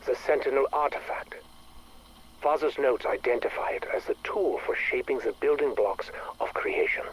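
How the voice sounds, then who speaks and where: An actor playing an obsessed, brooding scientist with a husky voice, speaking formally and tersely in dramatic video game audio logs. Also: male voice, medium pitch, moderate pace, natural voice, rough recording. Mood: brooding, intent.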